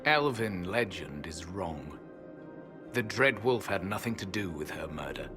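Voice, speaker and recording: An actor playing a proud, ancient elven temple guardian in a fantasy game, speaking in grave, formal, archaic phrases with disdain. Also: male voice, sits low, sing-song, measured pace, over music. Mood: solemn. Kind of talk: dramatic fantasy game acting.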